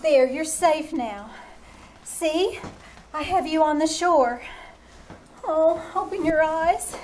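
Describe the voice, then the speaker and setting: A woman acting a lovesick, sorrowful young mermaid in old-time radio drama style, pleading with dramatic pauses. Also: sits high, a little mellow. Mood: tender, anxious. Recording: noisy live theater stage recording.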